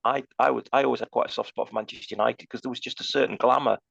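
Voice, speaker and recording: Gravelly, quick-talking man. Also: mid-range voice, steady tone, a bit bright, dry recording, lo-fi sound. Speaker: podcast co-host. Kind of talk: casual chat, opinion.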